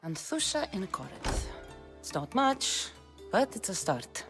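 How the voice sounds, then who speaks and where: A tough mercenary warrior woman in a video game, dry and blunt. Her mid-pitched voice still moves with lively inflection, with faint music underneath. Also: a little slow. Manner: dry, blunt, matter-of-fact. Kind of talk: video game cutscene acting.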